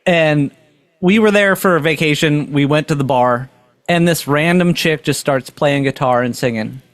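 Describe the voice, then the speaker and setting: A man, hosting a casual comedy chat. He swears a lot and acts out quick quips. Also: a little high, dry recording. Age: 40s. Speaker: podcast host.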